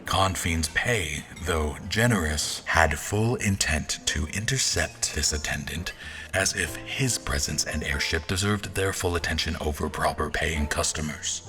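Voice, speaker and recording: A man's voice: extremely low pitch, measured pace, music in the background. A man playing a haughty royal officer, narrating an audio drama in a dry, formal manner. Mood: disdainful. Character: haughty, observant male royal officer recounting events. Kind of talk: scripted first-person narration.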